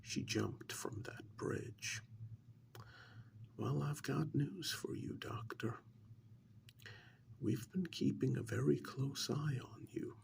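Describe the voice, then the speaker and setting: A man playing a sinister, menacing agent in an ASMR role-play, speaking softly in a breathy, gravelly half-whisper with deliberate pauses. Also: mid-range voice. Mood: knowing, brooding, then smug, menacing. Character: sinister male agent coolly interrogating and blackmailing a mad scientist. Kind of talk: soft-spoken ASMR role-play monologue.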